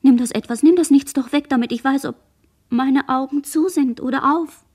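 A woman's voice: sits high, normal speed, darkish tone, close to an everyday voice. A woman playing a dazed young girl who murmurs a fragmented, incantatory inner monologue in a literary radio play. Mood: fragmented, musing.

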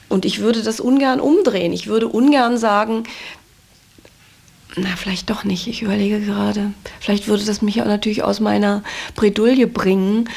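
A woman's voice: mid-range voice, gravelly, darkish tone. A woman answering interview questions reflectively and conversationally, thinking aloud and correcting herself as she goes. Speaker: stage performer being interviewed.

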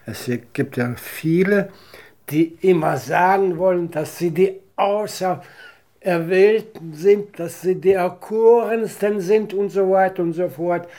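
Male conversational speaker, fairly high and very mellow, unhurried, rambling and emphatic. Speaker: interviewee. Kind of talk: spontaneous conversational philosophising.

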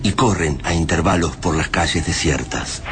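A man's voice: sits low, moderate pace. A man with an Argentine accent narrating a literary radio drama. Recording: clear ambient noise behind.

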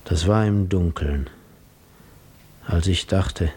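Husky, brooding wounded seafarer. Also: male voice, low-pitched, takes it slowly, a little mellow, exaggerated voice. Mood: brooding, dreamy. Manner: brooding, introspective. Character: weary wounded seafarer recalling a dreamlike waking. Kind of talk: 1950s German radio drama monologue.

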